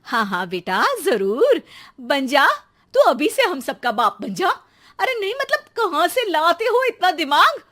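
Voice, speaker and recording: A woman voicing an exasperated, sarcastic Indian mother who nags loudly and dramatically in a children's cartoon story. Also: sits high, big pitch swings, a little slow, voice pushed up, heavily acted. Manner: sarcastic, loud nagging with dramatic exclamations. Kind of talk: animated children's comedy story.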